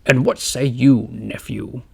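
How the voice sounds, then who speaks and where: A man playing an eccentric, pompous old rich man who talks slowly in an old-fashioned, theatrical way. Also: medium pitch, steady tone, creaky, a little hiss or hum.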